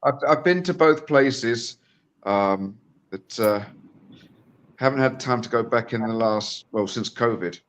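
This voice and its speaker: A man chatting as a guest on a football watch-along over a phone call, halting and restarting often. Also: mid-range voice. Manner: halting, with many fillers and restarts. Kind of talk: casual chat over video call.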